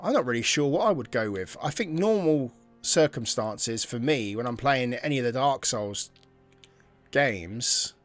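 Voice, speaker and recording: A man's voice: medium pitch, expressive, unhurried. Guy casually talking through a game, mid voice, faint music under him. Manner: chatty, thinking aloud, drops to muttering. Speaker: gaming channel host. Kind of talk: casual gameplay commentary.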